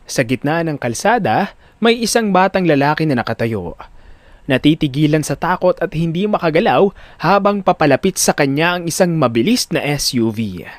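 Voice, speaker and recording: Madamdaming lalaking tagapagsalaysay, pabago-bago ang tono. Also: male voice, a little high, dry recording. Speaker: narrator. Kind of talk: dramatic scripted story narration.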